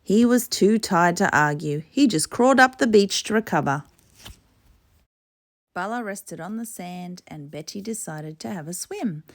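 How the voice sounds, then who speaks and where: A woman's voice: on the low side, expressive, a little slow. A woman reading a children's storybook expressively. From Torres Strait, Australia. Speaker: storybook reader.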